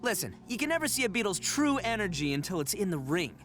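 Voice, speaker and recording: An actor voicing a boisterous horned oni man, a cocky braggart with loud laughs. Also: sits high, very animated, fast talker. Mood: bragging. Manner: boastful, loud laughs, brash. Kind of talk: game voice acting. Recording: game dialogue over music.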